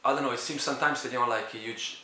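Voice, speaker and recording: A man from Florence, telling a personal story in a halting way, searching for words. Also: mid-range voice, fairly even intonation, bright, forceful tone, echoey room, far from the mic. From Florence, Italy. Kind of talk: personal story told to camera.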